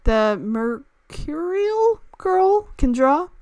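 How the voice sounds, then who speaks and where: A woman's voice: sits high, sing-song, extremely slow, a little mellow, dry recording, highs cut off. A woman game streamer reading a visual novel aloud, playfully stumbling over words and breaking into casual asides. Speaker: gaming channel host.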